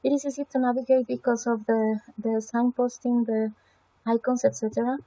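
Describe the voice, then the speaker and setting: A woman's voice: fairly high pitch, little pitch movement, normal speed, mellow, dull audio. A woman whose first language is Spanish presents a website evaluation, talking through it hesitantly with many fillers and self-corrections. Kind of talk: semi-scripted spoken evaluation.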